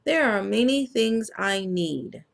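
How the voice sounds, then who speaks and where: An earnest little boy explains, in a slow and simple picture-book voice, what he needs and wants, as a woman reads him aloud. The delivery is unhurried and a bit gravelly at the edges. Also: medium pitch, lively intonation.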